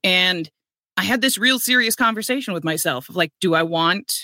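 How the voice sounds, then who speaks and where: A chatty woman with a low, boyish voice that has a bright, forward edge, talking fast and laughing often as a podcast guest. She is recorded close on a dry mic. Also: lively intonation, no room sound. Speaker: audiobook narrator, guest. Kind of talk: casual podcast chat.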